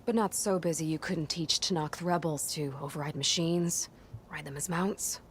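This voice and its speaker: A woman's voice: low-pitched, steady tone, normal speed, slight background noise, lightly acted. A woman playing a determined, sardonic young female hero in a video game, speaking in a dry, defiant manner. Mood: guarded, bitter. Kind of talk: video game cutscene acting.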